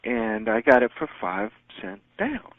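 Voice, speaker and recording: A man's voice: mid-range voice, big pitch swings, background noise. Man talking over a phone line. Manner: rambling, halting with many fillers. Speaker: teleconference host answering callers. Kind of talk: casual phone chat.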